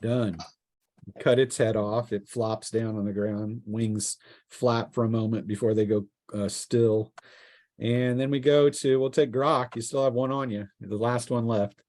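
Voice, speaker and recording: A man with a mid-pitched voice improvises narration as a game master. He builds descriptions haltingly, doubling back and restarting as he goes. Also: steady tone, a little mellow. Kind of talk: improvised tabletop roleplaying narration.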